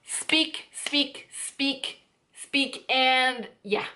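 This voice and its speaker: A woman's voice: high-pitched, extremely slow, sharp tone, a little hiss or hum. A young woman whose first language is Hungarian, talking to camera in a bubbly, playful vlog. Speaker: vlogger.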